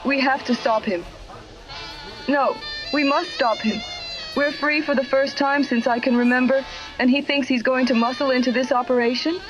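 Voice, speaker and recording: A woman's voice: high voice, fairly even intonation, moderate pace, natural voice. A woman playing a defiant, scheming den mother of a nightclub in a parody radio drama. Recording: muffled old-radio sound with music.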